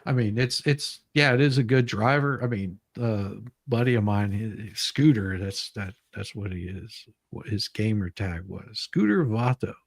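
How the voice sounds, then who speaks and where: Husky-voiced man, halting casual streamer. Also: lowish voice. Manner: halting, repeats words. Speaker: live stream host. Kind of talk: casual live stream chat.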